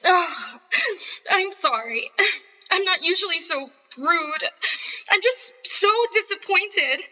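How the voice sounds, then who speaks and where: An actress playing a young, earnest, wounded stage ingenue in a radio play, with plaintive, theatrical delivery. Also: female voice, very high voice, bright, forceful tone, long reverb tail, full character voice, poor audio.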